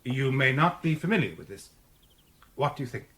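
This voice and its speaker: Actor as earnest, very expressive male piano teacher. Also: medium pitch, normal speed, close to an everyday voice. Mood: gently coaxing. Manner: precise, instructive, polite. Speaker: actor in an audio drama. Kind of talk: radio drama dialogue.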